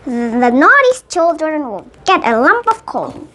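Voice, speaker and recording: A very high, youthful voice chatting playfully and helping to read a picture book aloud. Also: big pitch swings, a little slow, pushed and bright. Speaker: young co-reader of a picture book.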